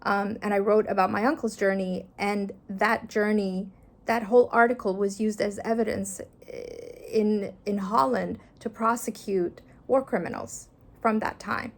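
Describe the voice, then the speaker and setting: A woman's voice: medium pitch, steady tone, measured pace. A middle-aged woman from Afghanistan, a journalist, talking earnestly and thoughtfully in an interview, with some fillers. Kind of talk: reflective interview answers.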